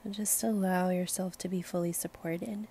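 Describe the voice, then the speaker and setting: Female meditation guide, lowish and flat in pitch, soothing, unhurried delivery with music behind. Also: slight background noise. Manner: gentle, soothing, unhurried invitations. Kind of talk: slow guided meditation narration.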